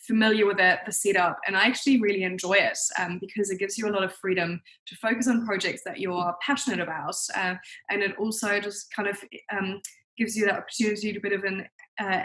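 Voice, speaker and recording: A woman's voice: mid-range voice, fairly bright tone, rough recording. A young adult woman who grew up in New Zealand and Germany, giving chatty, conversational interview answers peppered with "like" and "you know". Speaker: guest, marketing consultant.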